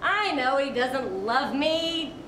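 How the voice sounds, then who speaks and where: High-voiced woman reciting, echoey and distant. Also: lively intonation, takes it slowly. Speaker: reader at a literary recitation. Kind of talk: expressive recitation of a literary piece. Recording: echoey, distant mic, clear background noise.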